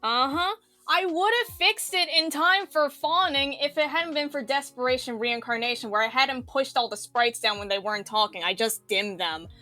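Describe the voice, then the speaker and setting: A woman's voice: high-pitched, normal speed, bright, forceful tone, no room sound, soft background music. A woman giving a casual tutorial, explaining step by step in an eager, affirming way. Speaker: visual novel tutorial teacher.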